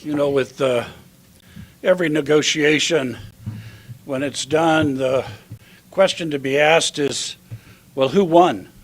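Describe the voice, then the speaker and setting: Male civic official, slightly high-pitched, deliberate phrasing, addressing a press announcement. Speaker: county commissioner. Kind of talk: public speech at a press announcement.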